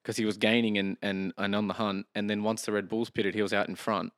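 A laid-back man chats with his mates on a podcast, laughing easily and calling people "mate". His voice sits on the low side. The recording is dry and close. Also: flat delivery, fast talker, fairly bright tone, no echo. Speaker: podcast co-host. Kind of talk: casual chat.

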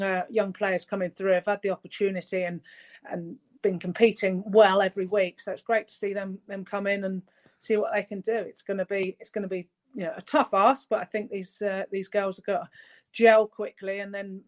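A guest pundit talks keenly about the game in a casual chat. The voice is mid-pitched and restarts phrases often. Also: flat delivery, moderate pace. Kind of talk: casual interview.

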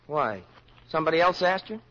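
An actor playing a jealous, sulky young college boyfriend with a sarcastic way of talking, in a 1940s radio comedy. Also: male voice, a little high, expressive, a little fast, fairly bright tone. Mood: hurt, jealous. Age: young adult. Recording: muffled 1940s radio broadcast.

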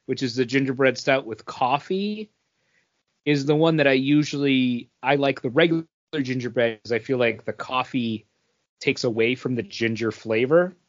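A man talking informally as a co-host in a relaxed podcast conversation. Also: mid-range voice, normal speed. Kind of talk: casual chat. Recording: slightly dull but dry recording.

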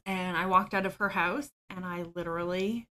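A woman's voice: lowish voice, steady tone, moderate pace, pushed and bright. A woman, a podcast guest who talks openly about her own life, often with small laughs and ums. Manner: open and self-deprecating, laughs easily, frequent ums. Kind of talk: candid personal storytelling.

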